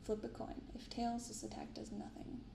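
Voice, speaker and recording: A soft-spoken woman hosting an ASMR unboxing, reading and commenting gently and without hurry. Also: a little high, little pitch movement, moderate pace, creaky, echoey room, background noise. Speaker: card unboxing video host. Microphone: binaural microphone close up.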